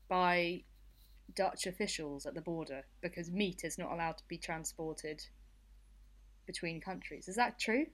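A woman co-hosting a relaxed, conversational discussion of the news. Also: lowish voice. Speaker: podcast co-host. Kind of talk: casual news chat.

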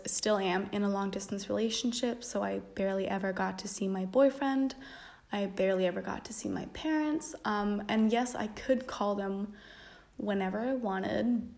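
Chatty young woman with a gravelly voice. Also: medium pitch. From Denmark. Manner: chatty, many fillers and light laughs. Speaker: vlogger. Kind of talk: casual reflective chat.

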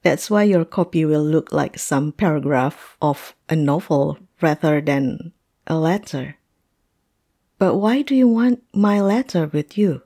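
Woman playing a hesitant heartbroken woman, slightly low pitch, unhurried halting delivery, courteous, fantasy audio roleplay. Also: lively intonation, mellow, exaggerated voice. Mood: puzzled, hesitant. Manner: hesitant, halting, polite, breathy sighs. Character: hesitant heartbroken woman client, polite and grateful.

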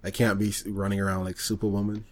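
A man co-hosting a podcast, chatting casually about video games and explaining things in an informal, halting way. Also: on the low side, flat delivery. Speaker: podcast co-host.

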